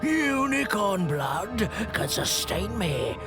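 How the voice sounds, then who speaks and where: A man voicing a cruel, bodiless dark lord in a video game, breathy and hissing, with drawn-out menace. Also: high-pitched, sing-song, full character voice. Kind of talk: video game cutscene villain acting. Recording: very loud game music underneath.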